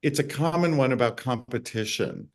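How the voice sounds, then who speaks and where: A man at a middling pitch speaks on an online panel. He talks earnestly and with enthusiasm, encouraging the others on the panel. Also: moderate pace.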